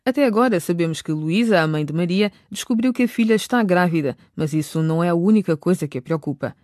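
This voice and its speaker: A woman's voice: fairly low pitch, darkish tone, dry recording. Dry studio female narrator, scripted recap.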